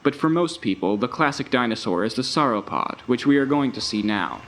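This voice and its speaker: Man acting as grand, animated theme park tour narrator. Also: medium pitch, a little fast. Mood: grand, informative. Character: recorded tour-guide narrator of a dinosaur park. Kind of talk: scripted documentary-style tour narration.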